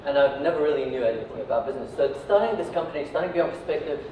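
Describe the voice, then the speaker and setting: A man's voice: fairly high pitch, animated, racing, dark tone, recorded from a distance. Man speaking in a very echoey room. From Iraq. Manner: conversational, peppers sentences with tag questions like right and yeah. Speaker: speaker giving a talk, company founder. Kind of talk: informal motivational talk to a live audience. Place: echoey indoor venue, recorded at a distance. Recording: echoey room from afar, dull, faint noise.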